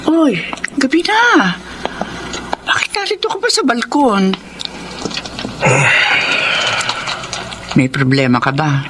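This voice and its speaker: A woman's voice: a little high, wildly swooping pitch, unhurried. Caring schoolteacher mother, noisy background. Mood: worried. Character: caring middle-aged schoolteacher and mother, concerned, sensible. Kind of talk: Filipino radio drama acting. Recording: clear background noise, vehicle sounds.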